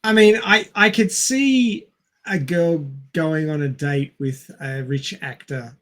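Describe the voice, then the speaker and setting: A man's voice: on the high side, takes it slowly, fairly bright tone. A man co-hosting a podcast, discussing films casually and reasoning through his points in an explanatory way. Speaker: podcast co-host.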